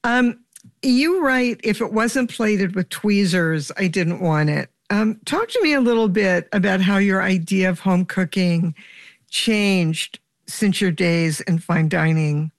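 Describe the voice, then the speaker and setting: A radio food show host interviewing a guest, asking questions with warmth and enthusiasm. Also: mid-range voice, expressive, takes it slowly, no echo, clean studio sound. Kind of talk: radio interview and scripted intro.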